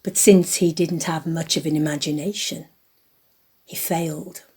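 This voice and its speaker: Husky, mellow woman narrating. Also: deep voice, steady tone. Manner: deliberate, emphatic storytelling. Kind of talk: dramatic storybook narration for an audio play.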